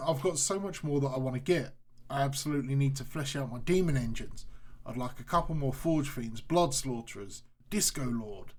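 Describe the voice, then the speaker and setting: A man's voice: mid-range voice, normal speed. A man narrating a hobby showcase video in a casual, frank and blunt way. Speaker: hobby channel host.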